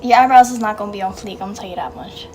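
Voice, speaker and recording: A woman's voice: mid-range voice, rapid-fire, over music. A young woman doing her sister's makeup, joking and muttering to herself as she works. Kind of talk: casual chat during makeup challenge.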